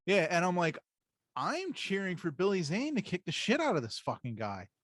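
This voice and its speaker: A man's voice: sits high, wildly swooping pitch, moderate pace, no room sound. A man co-hosting a podcast, telling things casually with crude, irreverent swearing. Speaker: podcast co-host. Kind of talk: casual pop-culture chat.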